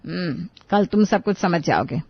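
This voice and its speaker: A woman's voice: on the low side, animated, moderate pace, gravelly, breathy edge, no echo, lo-fi sound, pitched below the performer's own voice, exaggerated voice. A woman voicing a scheming middle-aged mother-in-law in a comic story, coaxing and sarcastic beneath false sweetness.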